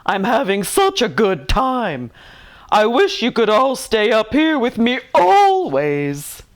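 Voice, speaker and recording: Woman voicing a jolly, high-pitched giggly old uncle. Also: wildly swooping pitch, slow, exaggerated voice. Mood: gushing, welcoming. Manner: chuckling, gushing, exclamatory.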